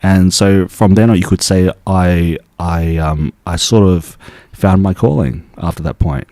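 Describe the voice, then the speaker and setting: A young man, born in Taiwan, working as an acupuncturist and telling his story in a relaxed, casual interview full of restarts. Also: low voice, unhurried, no room sound. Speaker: acupuncturist guest.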